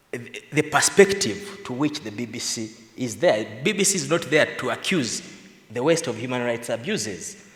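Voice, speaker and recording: A man speaking as a panelist, arguing his points with animation. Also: mid-range voice. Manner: animated, argumentative, laughs between points. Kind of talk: panel discussion remarks. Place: large conference hall. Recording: echoey conference hall with long reverb.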